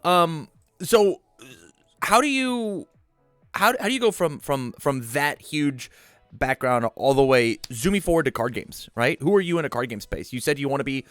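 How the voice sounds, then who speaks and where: A man hosting casual game commentary in an informal, animated way, often repeating phrases for emphasis. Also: a little high, normal speed, no echo, faint music behind. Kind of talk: casual podcast-like commentary chat.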